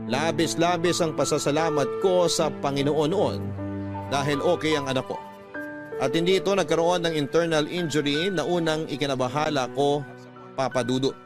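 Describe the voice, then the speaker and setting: A man's voice: on the high side, moderate pace. A Filipino man narrating a first-person letter in a dramatic, sorrowful radio-drama style. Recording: dry studio voice over music.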